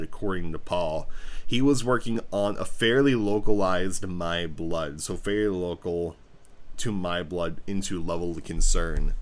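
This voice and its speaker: A man hosting a casual livestream, talking in a low voice and rambling excitedly like a fan. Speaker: livestream host.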